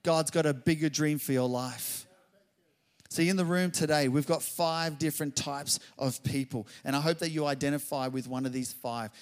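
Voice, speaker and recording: A middle-aged man preaching a sermon, telling a story and building to loud exclamations. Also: mid-range voice. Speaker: pastor.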